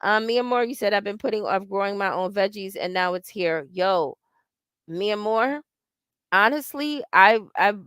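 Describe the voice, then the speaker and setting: A woman's voice: medium pitch, unhurried, a bit bright, smooth, no room sound, clean studio sound. A woman in her forties hosting a casual livestream, talking in a blunt, profane and rambling way and reading out viewer comments. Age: 40s. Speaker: livestream host.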